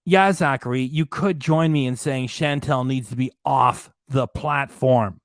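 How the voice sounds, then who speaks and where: A man's voice: medium pitch, unhurried, no room sound, clean studio sound. A man hosting a reaction live stream, losing his voice, ranting indignantly and repeating himself. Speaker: reaction channel host. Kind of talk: live stream commentary reading chat. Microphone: desk microphone, hidden behind a graphic.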